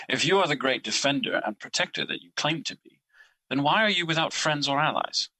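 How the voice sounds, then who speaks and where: A man's voice: on the high side, lively intonation, a little fast, sharp tone, dry recording, low-quality recording. A man playing Guilt as a personified emotion in an audio drama, cold and judgmental, with formal, mocking, accusing delivery.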